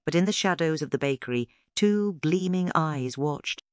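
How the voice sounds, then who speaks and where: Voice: medium pitch, lively intonation, normal speed, clear, resonant tone, dry recording, clean studio sound. A narrator reading a scripted children's story aloud as a storyteller.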